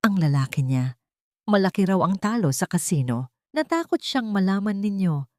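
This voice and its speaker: A woman's voice: medium pitch, darkish tone, dry recording, studio quality, close to an everyday voice. A woman voicing a frightened, guilt-ridden socialite in an audio drama, with a trembling, pleading delivery.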